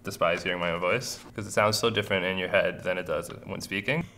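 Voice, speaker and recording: A young man answering interview questions in a relaxed, conversational way. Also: sits low, quick pace, a little hiss or hum.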